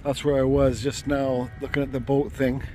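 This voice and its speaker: A man's voice: medium pitch, flat delivery, moderate pace, warm tone. A man talking to the camera while he drives on a road trip, rambling and correcting himself, swearing now and then, with the car's faint hum behind him. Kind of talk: casual chat while driving. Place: inside a car on the road. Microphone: phone camera mounted on the dash. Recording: faint car noise behind.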